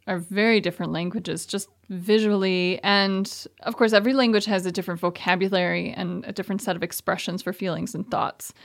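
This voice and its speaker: A woman hosting an interview. She delivers scripted introductions and composed questions at a middle pitch, in the orderly style of an arts program presenter.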